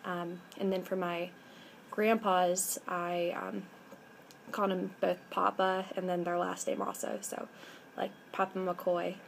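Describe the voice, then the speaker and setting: A woman's voice: fairly low pitch, little pitch movement, takes it slowly, creaky, slight background noise, low-quality recording. A woman in her twenties from the American Midwest, with a Midwestern accent, chatting casually on a webcam vlog and saying um often. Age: 20s. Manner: chatty, with frequent ums. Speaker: vlogger. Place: indoors by a window. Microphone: webcam at arm's length.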